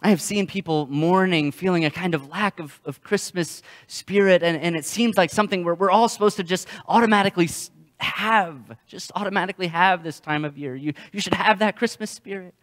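A young adult man gives a sermon in a slightly high-pitched voice. His delivery is halting, with words repeated as he moves through his stories. Long reverb from a hall surrounds the voice. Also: fairly bright tone. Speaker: pastor. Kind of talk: sermon with storytelling. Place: church sanctuary, indoors.

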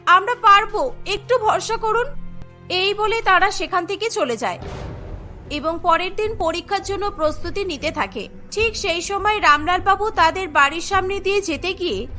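A woman's voice: very high voice, moderate pace, sharp tone. A woman narrating a scripted cartoon story as its storyteller. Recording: background music bed.

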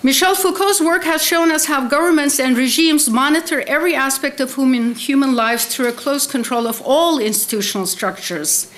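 High-voiced woman giving an academic lecture. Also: a bit bright. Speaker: keynote speaker, literary scholar. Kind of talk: academic conference lecture, mostly read from prepared text. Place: indoor conference hall, seated at a desk with laptop. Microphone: desk microphone on a stand.

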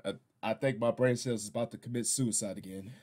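A middle-aged American man hosting a reaction video in casual, halting, exasperated commentary. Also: mid-range voice, steady tone, darkish tone.

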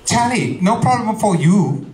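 A man putting on a high clipped fussy doctor. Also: expressive, unhurried, a little mellow. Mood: brisk. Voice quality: high clipped voice, broken English. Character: fussy little male doctor, broken English, brisk. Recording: roomy comedy club stage.

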